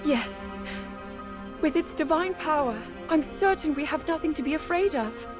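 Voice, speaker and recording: A gentle young princess in a game cutscene. Her voice is high, and she speaks with formal, earnest courtesy. Music plays clearly behind her. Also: expressive. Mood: earnest, reassuring. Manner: polite, formal, measured. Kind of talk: video game cutscene acting.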